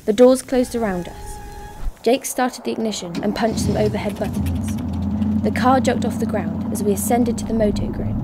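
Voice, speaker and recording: A woman's voice: medium pitch, close to an everyday voice. A woman playing a tough, tense heist operative who narrates her own story in a radio drama. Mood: narrating, wary. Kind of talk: radio drama first-person narration with acted dialogue. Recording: noisy recording with vehicle ambience.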